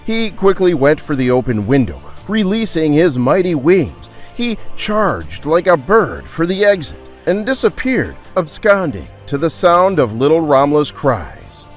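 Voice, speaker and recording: A man working as a storyteller, reading scripted dramatic narration with theatrical, emphatic pauses. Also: a little high, very animated, faint music behind.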